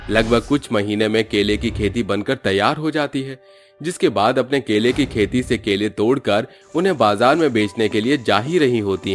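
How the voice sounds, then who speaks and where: A man giving scripted narration of a moral story as its storyteller. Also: mid-range voice.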